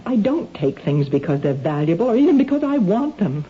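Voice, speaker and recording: Anxious, wary wife with dark, soft-edged voice acted by a woman. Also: medium pitch, sing-song, brisk, natural voice. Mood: defensive. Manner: hesitant, nervous, defensive. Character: anxious, wary married woman blackmailed over her kleptomania. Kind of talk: 1970s radio drama acting. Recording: dull, noisy old radio drama recording.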